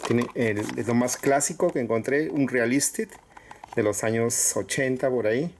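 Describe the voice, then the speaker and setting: A man casually presenting items to viewers in short, halting phrases with pauses. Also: mid-range voice. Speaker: collector showing cassettes for sale. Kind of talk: casual product showcase. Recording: clear background noise with clicks.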